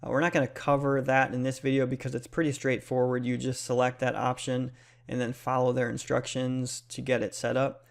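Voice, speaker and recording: A man's voice: mid-range voice, flat delivery, brisk, gravelly. A man hosting a casual explanatory tutorial, speaking in a plain, step-by-step instructional way. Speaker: tutorial host.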